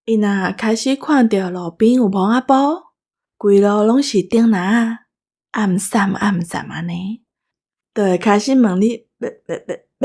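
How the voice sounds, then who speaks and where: A female host with a Taiwanese accent tells anecdotes in a conversational way. Her voice sits at an average pitch. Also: darkish tone. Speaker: show host.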